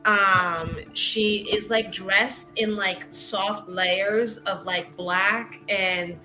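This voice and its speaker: A woman's voice: mid-range voice, fairly even intonation, extremely slow, bright, forceful tone, soft background music, poor audio. A woman improvising her character's actions in a tabletop roleplaying game, playful, dramatic and slangy. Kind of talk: animated improvised story narration.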